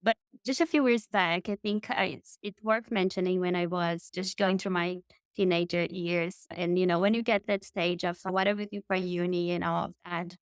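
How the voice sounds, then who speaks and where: A woman's voice: fairly low pitch, steady tone, moderate pace, clean tone, no echo. A woman in her thirties from Brazil with a Brazilian Portuguese accent, a guest telling her story in an interview with enthusiasm and frequent restarts. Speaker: guest, marketing consultant.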